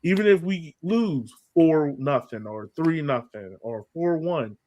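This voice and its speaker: A man's voice: fairly high pitch, lively intonation, measured pace, a little mellow, lo-fi sound. A man hosting a sports podcast, giving opinions in casual conversation and tossing in rhetorical questions. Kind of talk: casual sports chat, opinionated game breakdown.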